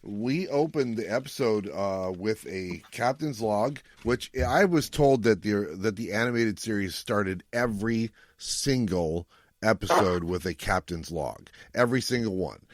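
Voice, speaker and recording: A man's voice: fairly low pitch, expressive, a little slow, no room sound. A middle-aged man co-hosting a podcast, chatting casually as he recaps an episode. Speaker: podcast co-host.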